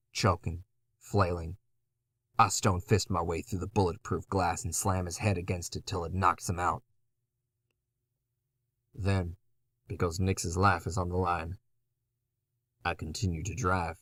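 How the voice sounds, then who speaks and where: A man's voice: sits low, level tone, measured pace, pauses often, a bit bright, no echo. A man playing a battered, foul-mouthed undead antihero, telling his story in a wry, conversational way in a horror audio drama. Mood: grim, wry. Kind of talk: first-person horror audio drama narration.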